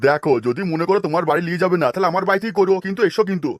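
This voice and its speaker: A man's voice: sits high, very fast, close to an everyday voice. A man voicing an eager, pleading and foolishly obliging village man in a cartoon. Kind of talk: cartoon dialogue.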